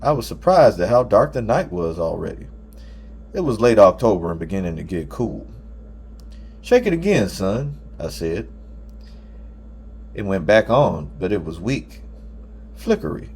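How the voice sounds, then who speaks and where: A man with a fairly low voice narrates a story in the first person. He reads as an audiobook narrator, telling it from a script. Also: animated, moderate pace, vocal fry, pauses often, a little mellow, slight background noise.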